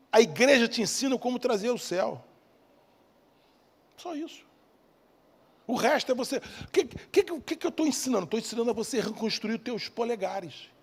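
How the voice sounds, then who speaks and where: A man with a Rio de Janeiro Brazilian accent and a husky voice preaches an evangelical sermon emphatically, repeating key phrases and asking rhetorical questions. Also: high-pitched, darkish tone. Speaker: preacher, bishop. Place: church service in a large hall. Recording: reverberant hall sound.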